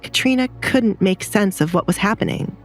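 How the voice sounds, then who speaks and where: A woman's voice: mid-range voice, moderate pace, soft background music. A woman narrator reading a scripted dramatic story.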